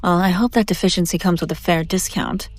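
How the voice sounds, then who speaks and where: A woman playing a haughty, wealthy demon buyer in a scripted audio roleplay, condescending and teasing with drawn-out theatrical delivery. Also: lowish voice, fairly even intonation, dry recording, close to an everyday voice. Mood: cool, businesslike.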